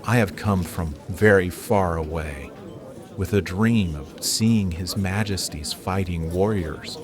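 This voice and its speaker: A man's voice: low voice, takes it slowly, crowd noise. A man playing a humble, earnest traveller from afar in an audio drama, speaking politely and formally.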